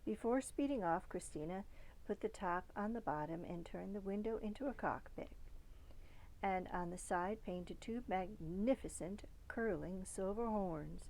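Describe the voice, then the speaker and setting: A woman reading a children's storybook aloud expressively, with dramatic emphasis that softens at phrase ends. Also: mid-range voice, a little slow, slight background noise, low-quality recording. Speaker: storybook reader.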